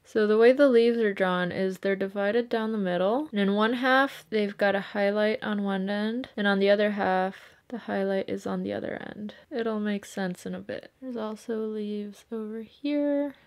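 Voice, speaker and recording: A woman's voice: mid-range voice, moderate pace, few pauses. Chatty young woman narrating her makeup. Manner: chatty, explains each step as she paints. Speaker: makeup artist.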